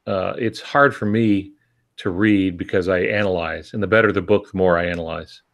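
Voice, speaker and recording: Older guy cohosting a podcast, lowish voice, just chatting. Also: steady tone, moderate pace, fairly bright tone. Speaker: podcast co-host and novelist. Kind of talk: casual chat.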